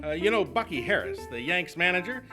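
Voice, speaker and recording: A man's voice: high voice, very animated, brisk, over music. An actor playing a patient, matter-of-fact straight man who explains a baseball lineup in a live stage comedy routine. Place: theater stage studio.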